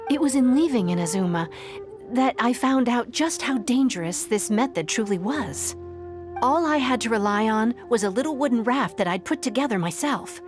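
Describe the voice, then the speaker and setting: A woman's voice: fairly high pitch, natural voice. A woman voicing an earnest young woman who escaped her strict homeland, in a video game. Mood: reflective. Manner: earnest, slightly awkward storyteller. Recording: game audio with soft background music.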